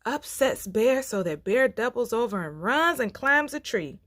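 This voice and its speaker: A woman reading folktales aloud for children as a storyteller, with deliberate, theatrical phrasing. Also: fairly high pitch, big pitch swings, fairly bright tone, no room sound, clean studio sound. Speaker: storytime reader.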